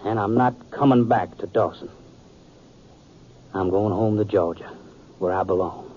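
A man playing a bitter, proud young frontier sheriff with a fairly low voice and an intense, terse delivery. The sound is a muffled, slightly noisy 1950s radio drama. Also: unhurried, mellow, lightly acted. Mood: bitter, resigned. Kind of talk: 1950s radio western acting.

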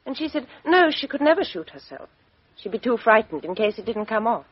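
An actress playing a composed, outspoken young Englishwoman with a crisp, frank upper-class delivery in a 1950s radio drama. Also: a little high, big pitch swings, fairly quick, no room sound. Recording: dull 1950s radio recording.

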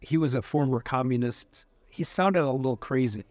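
A man of medium pitch conducts an informal interview. His questions come out tentative and loosely put together. The recording is dry, with little sense of the room. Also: normal speed, darkish tone, no room sound.

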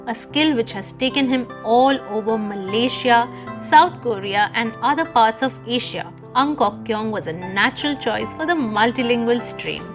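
A woman hosts a podcast, reading a scripted introduction before moving into questions for her guest. Her voice sits a little high for a woman, and she sounds eager and enthusiastic. Faint music plays underneath. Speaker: podcast host.

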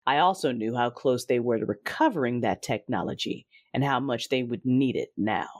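An actor playing a cruel, vengeful Sith lord, narrating an audio drama in a deliberate, commanding, menacing way with a husky voice. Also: mid-range voice, animated. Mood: cold gloating. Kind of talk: first-person audio drama narration with dialogue. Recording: dramatic music behind the voice. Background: music.

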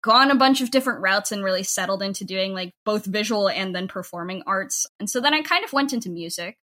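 A woman's voice: mid-range voice, lively intonation, brisk, pushed and bright. A woman chatting casually as an interview guest, peppering talk with "like" and acting out quotes. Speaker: guest, audio drama writer. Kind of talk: casual chat. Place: at home, remote interview.

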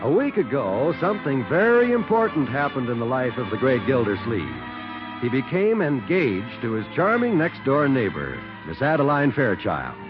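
A man working as a radio announcer, reading scripted 1940s-style sponsor copy and story introductions. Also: medium pitch. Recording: muffled old 1940s radio recording. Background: music.

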